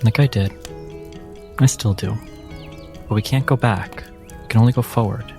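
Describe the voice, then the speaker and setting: A man playing a gentle, grave former fighter in a tabletop game, speaking in a measured, reassuring way. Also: on the low side, over music, natural voice. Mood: grave, consoling.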